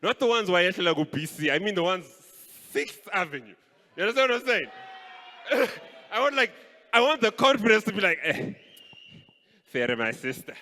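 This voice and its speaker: A young man from Kenya doing live stand-up comedy on a stage mic, playful and animated. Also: high voice, fairly quick. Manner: animated, playful, switches voices. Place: stage in a large hall. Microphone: handheld stage mic. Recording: live hall with long reverb.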